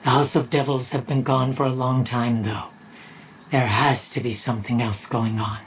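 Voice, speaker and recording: An actor playing a composed, serious field commander, giving a deliberate video game mission briefing. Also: male voice, medium pitch, level tone, slight background noise, lightly acted. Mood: grave, purposeful. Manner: deliberate, measured.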